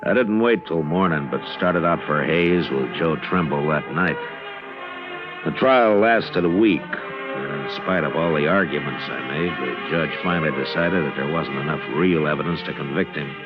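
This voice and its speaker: An actor playing a dogged, world-weary western marshal in an old radio drama, speaking plainly and firmly with a weighty voice. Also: male voice, normal speed. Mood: grim, reflective. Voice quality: deep, weighty. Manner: plain-spoken, firm. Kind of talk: 1950s radio western acting and narration. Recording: muffled old radio broadcast, music behind.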